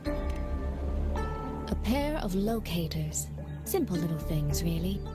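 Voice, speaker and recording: Game companion: shrewd, composed woman, measured with a playful touch, music loud underneath. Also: low-pitched, wildly swooping pitch, full character voice. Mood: composed, reassuring. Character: composed, shrewd adult woman, well-prepared former trader. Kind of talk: video game voice acting.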